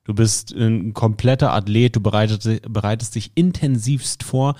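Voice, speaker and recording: A man hosting a sports podcast, upbeat and joking as he interviews a guest, tossing in quick asides. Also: fairly low pitch, mellow. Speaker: podcast host.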